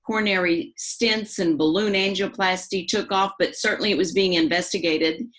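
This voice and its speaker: A woman's voice: mid-range voice, steady tone, moderate pace, a bit bright. A woman hosting an interview, introducing her guest politely and with a few fillers. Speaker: host.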